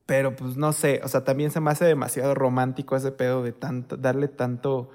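A man with a Mexican accent talking casually as a podcast co-host, pausing to search for words and trailing off quietly. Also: medium pitch, level tone. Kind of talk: casual conversation.

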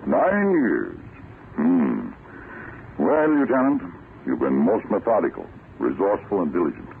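An actor playing a deliberate, authoritative senior army general in a 1940s radio drama, with a gravelly voice. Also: male voice, low-pitched, wildly swooping pitch, heavily acted. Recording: muffled, hissy vintage radio recording.

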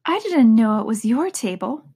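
A woman voicing a proper, indignant young girl with a prim, sharp way of speaking. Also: a little high, animated, moderate pace, husky, exaggerated voice.

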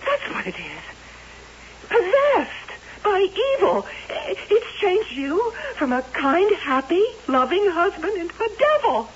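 Hysterical jealous pious wife, old radio melodrama, high swooping voice, muffled hissy tape. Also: female voice, sing-song, slow pace, heavily acted. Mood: righteous fury. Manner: melodramatic, accusing. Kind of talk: old-time radio drama acting.

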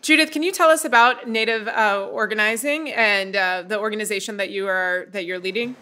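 A woman hosts an interview, her voice bright and forward. She puts questions to a guest, pausing on small fillers as she goes. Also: medium pitch. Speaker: podcast host.

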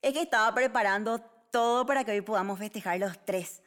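A woman's voice: medium pitch, fairly quick, fairly bright tone, natural voice. A woman playing an affectionate mother of a young boy in an audio drama. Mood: fond, busy.

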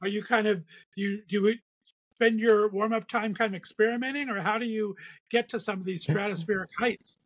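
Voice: medium pitch, brisk, fairly bright tone, dull audio. A podcast host asking casual interview questions with effusive, enthusiastic praise.

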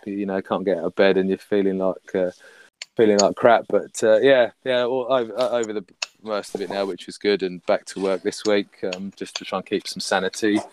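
A man, a podcast guest, chatting casually and rambling with many fillers and restarts, his voice husky from a recent illness. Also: fairly low pitch, little pitch movement, brisk, a little mellow, a little hiss or hum. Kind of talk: casual chat.

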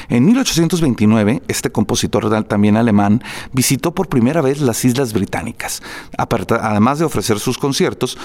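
A man's voice: mid-range voice, a little mellow. A man presenting spoken program notes before a classical concert, reading prepared text with fillers and restarts.

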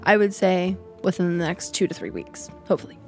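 A woman with a raspy voice co-hosting a podcast in casual chat, upbeat and full of fillers. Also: fairly low pitch, fairly quick, darkish tone, faint music behind. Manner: chatty, filler-heavy, upbeat. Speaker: podcast co-host.

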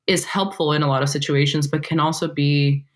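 A woman's voice: low-pitched, fairly even intonation, brisk. A young woman from Brazil who speaks with an American accent, talking reflectively as a poet guest, with many "like" and "um" fillers. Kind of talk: casual interview conversation.

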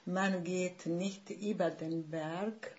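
A woman in her sixties from Bosnia, a writer speaking German with a Bosnian accent as a podcast guest, pausing often and searching for words. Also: fairly low pitch, steady tone, a little mellow, highs cut off. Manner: hesitant, searching for words, many fillers. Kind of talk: podcast conversation.

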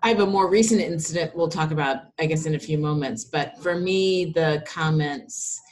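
A woman coach on a discussion panel, speaking slowly and drawing out her words. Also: sits low.

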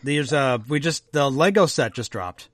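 A man's voice: mid-range voice, animated, normal speed, a bit bright, no echo, studio quality. A man in his fifties co-hosting a casual podcast chat, reading news items aloud and laughing easily. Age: 50s. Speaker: podcast co-host.

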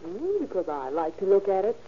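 A devoted, tender mother played by a woman speaks in the manner of 1930s radio acting. Her voice sits at a medium pitch on a dull, muffled old recording. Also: sing-song, fairly quick, slight background noise, lightly acted. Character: tender, doting mother of a young boy.

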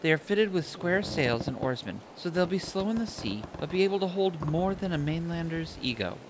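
A man plays a young shipwright in an audio drama, pitched up from his natural voice. The character is blunt, proud and quick to snap, full of opinions. There is clear background noise. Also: expressive, natural voice. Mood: animated, scornful. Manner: snappy, opinionated.

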